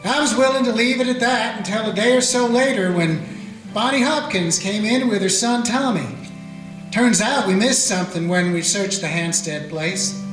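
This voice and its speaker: Frontier sheriff, played by a man, calm folksy narrator, high-ish voice, roomy echo. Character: small-town Old West sheriff, steady and dry. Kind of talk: radio play acting. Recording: echoey room.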